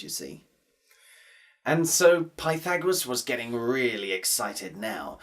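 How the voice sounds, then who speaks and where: Woman storyteller, low voice, very lively pitch, slow. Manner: expressive, playful, drawn-out repetitions. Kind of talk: storytelling for children.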